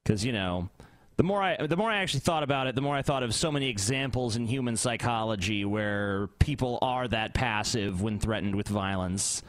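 A man's voice: fairly low pitch, brisk, few pauses, no room sound. Blunt man, dry close sound. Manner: blunt, sarcastic. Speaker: podcast host. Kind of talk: casual, profane podcast chat.

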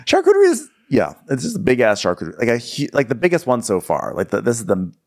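A man's voice: mid-range voice, wildly swooping pitch, fast, darkish tone. A man co-hosting a comedy recap podcast, wry and chatty, acting out quotes. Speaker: podcast co-host.

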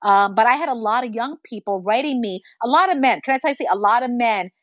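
A woman's voice: a little high, expressive, fast talker, no echo. A woman from New Jersey, a former radio traffic reporter, telling stories in an interview, acting out quotes and laughing often. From New Jersey, USA. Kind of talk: casual interview storytelling.

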